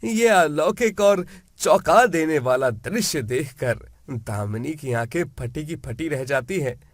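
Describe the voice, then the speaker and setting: A man narrates a scripted story for children. He speaks slowly in a fairly high male voice, recorded dry with little room sound. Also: lively intonation, no room sound.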